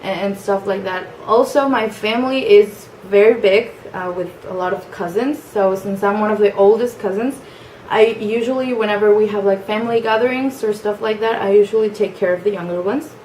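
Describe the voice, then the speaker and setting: Young woman, Mexican accent, Spanish native speaker, soft and hesitant, echoey room. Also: mid-range voice, normal speed, slight background noise. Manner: soft-spoken, hesitant with frequent fillers. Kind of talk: casual self-introduction video. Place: family home.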